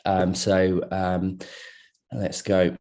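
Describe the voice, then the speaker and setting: South african-born guy, middle-aged, slightly low voice, chatty webinar talk full of ums. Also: extremely slow, slight background noise. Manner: relaxed and conversational, many fillers and restarts. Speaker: plastic surgeon presenting a webinar. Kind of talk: live online lecture. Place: at work, speaking into a computer. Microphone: video call.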